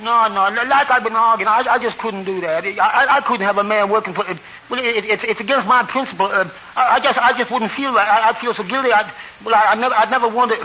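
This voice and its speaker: A very high-voiced, fast-tumbling radio-comedy bumbler, tripping over his words, repeating them and mangling every grand term he reaches for. The old radio recording is dull and hissy throughout. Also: pushed and bright. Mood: flustered. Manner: stammers constantly, repeats words, muddles big terms. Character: bumbling, stammering male small-time businessman. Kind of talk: 1940s radio comedy acting.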